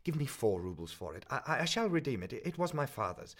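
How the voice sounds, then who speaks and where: A man's voice: medium pitch, very animated, fairly bright tone, voice pushed up. A man doing a stammering, desperate young student. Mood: nervous, pleading. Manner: stammering, hurried. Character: poor, nervous young male student, desperate.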